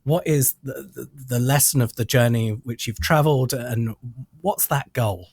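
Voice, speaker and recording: A British man hosting a podcast interview, asking questions in deliberate, wordy phrasing. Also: medium pitch, a little mellow, breathy edge, no room sound, clean studio sound. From United Kingdom.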